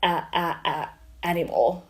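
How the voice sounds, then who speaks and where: A woman talking about her stutter, halting with blocks and "uh" pauses. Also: on the low side, lively intonation, darkish tone. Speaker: community member sharing experience. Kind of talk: personal testimony to camera.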